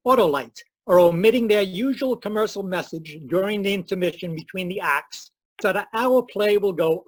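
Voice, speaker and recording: A man's voice: sits high, no room sound, low-quality recording. A man hosting an old-time radio mystery show with theatrical, formal scripted announcing. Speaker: host and announcer.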